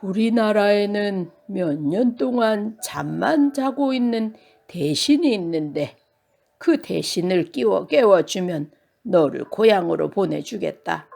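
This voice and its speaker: A woman voicing a stern, dignified king of giants with slow, pompous, formal speech. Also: medium pitch, lively intonation, creaky, a little mellow, full character voice.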